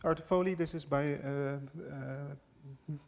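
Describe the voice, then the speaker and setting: A middle-aged man giving a live demo talk in a lecture hall, hesitant and halting, often restarting his words. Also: a little high, steady tone. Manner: hesitant, halting delivery with repeated word starts. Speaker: app developer presenting a demo. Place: large lecture hall, on stage at a lectern. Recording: noisy live hall recording.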